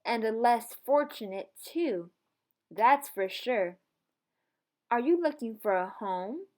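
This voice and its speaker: A woman voicing a thoughtful, reserved preacher father, measured and gruffly gentle, in a storybook. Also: on the high side, lively intonation. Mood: relenting.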